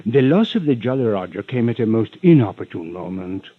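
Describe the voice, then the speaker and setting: Suave, husky radio villain, swooping pitch. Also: male voice, very animated, a little fast, dry recording. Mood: smooth, persuasive. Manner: florid, over-polite, stammering hesitations, chuckling. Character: suave, wheedling rich villain, unctuous and veiled in menace. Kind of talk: 1940s-50s radio drama acting. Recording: muffled old radio recording.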